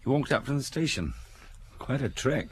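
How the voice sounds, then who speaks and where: A husky, plain-spoken police sidekick. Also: male voice, medium pitch, lively intonation, normal speed. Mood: matter-of-fact. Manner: colloquial, matter-of-fact reporting in short phrases. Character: deferential, plain-spoken male police inspector, the chief's assistant. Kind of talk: audio drama dialogue.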